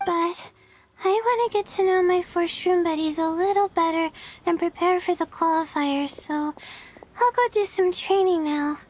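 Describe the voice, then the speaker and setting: A woman's voice: extremely high pitch, normal speed, darkish tone. A woman voicing a timid, earnest and weary young student girl in a video game, hesitant and polite. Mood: flustered, grateful.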